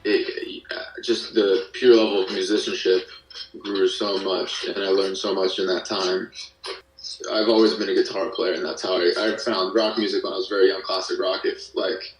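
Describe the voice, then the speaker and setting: A man speaking as an interview guest in casual chat, haltingly rephrasing as he tells his own story. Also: deep voice, level tone, slight background noise. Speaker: podcast guest, guitarist. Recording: roomy, distant mic, faint music.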